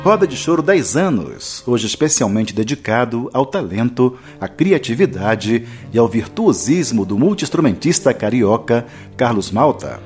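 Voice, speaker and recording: A man's voice: mid-range voice, big pitch swings, unhurried, a little mellow, faint music behind. A man hosting a radio program, announcing in a scripted presentation style. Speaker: radio host and interviewer.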